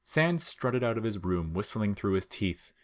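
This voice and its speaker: Deep-voiced man narrating fiction. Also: very animated, unhurried.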